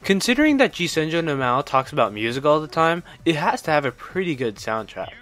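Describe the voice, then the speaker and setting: A man's voice: on the high side, very animated, brisk, a bit bright. A man narrating a scripted video game review in an enthusiastic, opinionated way. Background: background music.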